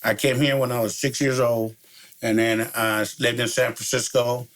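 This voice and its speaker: A man's voice: mid-range voice, level tone, moderate pace, a bit bright. A middle-aged man from El Salvador, a DJ guest chatting casually in laid-back street slang, laughing often and saying "you know" a lot. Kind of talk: casual interview chat.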